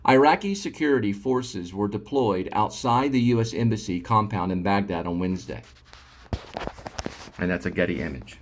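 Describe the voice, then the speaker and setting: A man with a fairly low voice presents news as an amateur reader. He moves between reading copy and casual talk, in a halting, rambling delivery that trips over the occasional word. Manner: halting, rambling, stumbles over read words. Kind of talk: casual talk mixed with read-aloud news.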